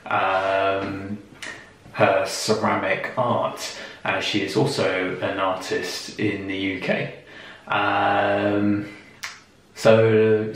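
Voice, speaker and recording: Slow, creaky-voiced man in echoey room. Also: on the low side, a bit bright. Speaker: interviewer and video host. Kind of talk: casual interview. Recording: echoey room, distant mic, faint noise.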